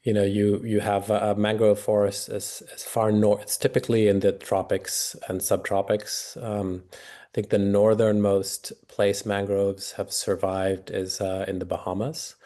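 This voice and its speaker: A man's voice: lowish voice, level tone, measured pace, warm tone. A man answering questions in an online session, hesitant, with many fillers and restarts. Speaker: guest speaker. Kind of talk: webinar Q&A answers.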